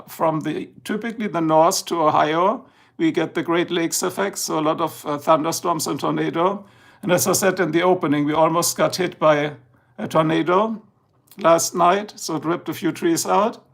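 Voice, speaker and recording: A man with a German accent chats loosely through a livestream, drifting between topics and apologizing for slow speech. His voice sits a little high for a man and carries a gravelly, creaky edge. It sounds like a home setup aimed at a tablet. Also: fairly even intonation. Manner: rambling, chatty, slow and drowsy at times. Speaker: livestream host. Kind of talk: casual live-stream chat. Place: indoors, filming a tablet slideshow.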